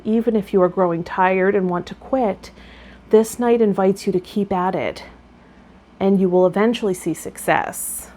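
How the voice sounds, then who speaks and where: A woman's voice: medium pitch, moderate pace, mellow. A woman speaking as a tarot vlogger and guide, explaining and chatting directly to viewers. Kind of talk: reading card meanings, then casual chat to viewers. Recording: clear background noise.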